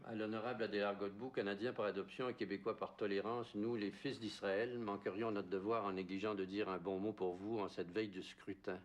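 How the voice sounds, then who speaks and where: Un homme lit avec solennité la voix d'un immigré fictif signant un tract électoral moqueur. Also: male voice, lowish voice, fairly even intonation, fairly quick, hardly any pauses, close to an everyday voice. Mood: ceremonious. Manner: formal, declamatory reading. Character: male immigrant signing a mocking electoral leaflet.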